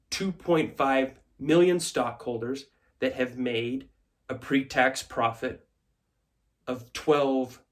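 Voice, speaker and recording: A man playing a brash, confident corporate raider in a theatrical film monologue, giving an emphatic speech with deliberate dramatic pauses. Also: medium pitch, lightly acted. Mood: self-assured.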